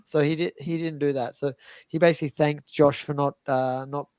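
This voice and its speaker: A man's voice: a little high, steady tone, brisk, darkish tone, no echo, studio quality. A man on a casual podcast panel with an easygoing, chatty manner who steers the conversation to new topics.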